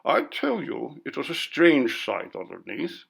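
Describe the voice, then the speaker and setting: A man's voice: medium pitch, wildly swooping pitch, a little slow, vocal fry, fairly bright tone, husky, full character voice. A man voicing a pompous, curt college bursar in a ghost story reading, with a disapproving manner. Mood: grave recounting.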